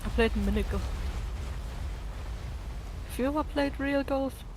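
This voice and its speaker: A woman's voice: high voice, measured pace. Casual woman on a slightly noisy voice chat. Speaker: co-streamer in voice chat. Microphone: Discord voice call with noise cancellation.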